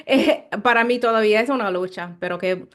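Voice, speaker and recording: A woman's voice: a little high, fairly quick, a bit bright. A woman with an American accent hosting a casual interview in Spanish as a second language, searching for words as she goes. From Chicago, United States. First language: English. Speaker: interviewer, host.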